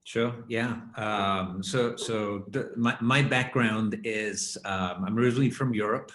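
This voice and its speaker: A man's voice: on the low side, measured pace, fairly bright tone. A middle-aged man from Belgium, guest in a casual interview, talking unhurriedly with frequent restarts. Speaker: guest, finance founder. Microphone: video call. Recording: echoey room.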